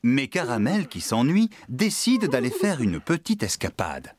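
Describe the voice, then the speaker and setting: A man narrating a children's cartoon farm tale in a calm, scripted storybook style. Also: mid-range voice, measured pace. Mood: storytelling.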